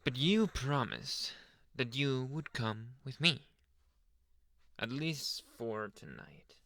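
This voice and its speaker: A young male werewolf, acted out by a man, murmurs close and dry in an ASMR roleplay. He is slow and gravelly, slipping into whispers, tender with a possessive menace underneath. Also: mid-range voice, a bit bright, no room sound. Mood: coaxing, possessive. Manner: intimate, halting, breathy murmurs drifting into whispers. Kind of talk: ASMR roleplay addressed to the listener.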